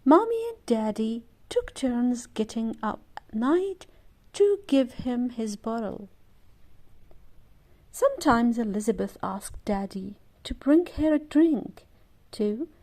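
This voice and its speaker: A woman reading a children's story aloud in an expressive, deliberate way for young listeners. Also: fairly high pitch, low-quality recording. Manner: animated character voices, deliberate phrasing.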